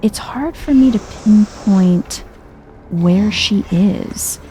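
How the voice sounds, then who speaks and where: Soft-spoken, slow, mellow woman gamer. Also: mid-range voice, a little hiss or hum. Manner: chill, understated, musing aloud. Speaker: video game streamer. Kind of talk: soft-spoken casual game commentary, ASMR vibe.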